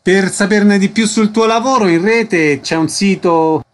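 A man hosts a radio programme. His voice sits somewhat higher than most men's, and he speaks as a presenter introducing guests and announcements. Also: a little slow. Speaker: radio host.

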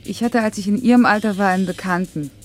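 Woman acting a reserved working mom in a radio play, plain thoughtful talk, normal pitch. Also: fast talker, soft background music, natural voice. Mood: pensive. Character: reserved, quietly dignified working mother.

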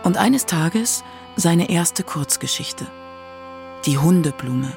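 A narrator reading a scripted radio documentary in a measured literary manner. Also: medium pitch, expressive, vocal fry, over music.

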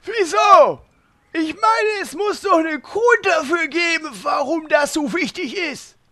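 A man's voice: extremely high pitch, a little slow, bright, forceful tone, full character voice. A male actor playing a blunt, wisecracking adventurer in a comedic audio drama. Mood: puzzled.

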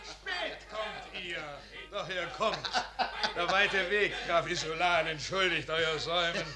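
An actor playing a blunt, proud field marshal with a declamatory, biting delivery, in a 1950s radio drama. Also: male voice, high voice, slow pace. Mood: hearty welcome. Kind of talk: 1950s German radio drama verse acting.

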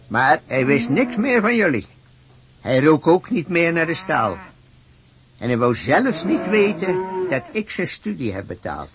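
A man's voice: medium pitch, a bit bright, heavily acted. An actor playing a stubborn, gullible rich old farmer in a radio play, blustering and stammering as he repeats himself.

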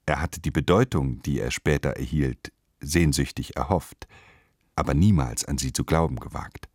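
A man narrating a literary radio play with measured, deliberate phrasing. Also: a bass voice, darkish tone, breathy edge, dry recording, clean studio sound.

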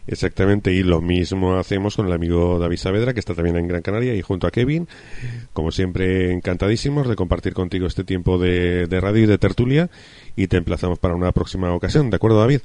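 A man's voice: low voice, fast talker, mellow, no echo. A man with an accent from Spain, hosting a casual radio football discussion in an effusive, colloquial way.

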